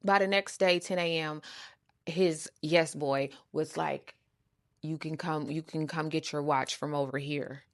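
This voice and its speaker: A woman with an American accent telling a story casually, with a sassy, animated, emphatic and slangy delivery. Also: low-pitched, a little slow, dry recording, studio quality. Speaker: storytime show host. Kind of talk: casual storytelling, acting out quoted conversations.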